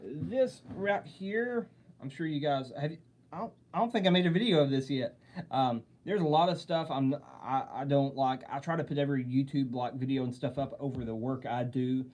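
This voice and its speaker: Man, Southern US accent, young adult, mellow voice, earnest, rambling, live Q&A host. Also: medium pitch, normal speed. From southern United States. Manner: rambling, earnest, repeats himself, friendly address. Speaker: wood carving channel host. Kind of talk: live-stream Q&A chat. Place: home workshop with lights.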